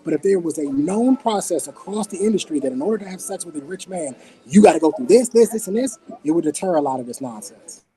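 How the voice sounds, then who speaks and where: A man's voice: fairly high pitch, lively intonation, quick pace, mellow, low-quality recording. A man on a podcast panel, making his point in an assertive, matter-of-fact way. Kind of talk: casual panel discussion.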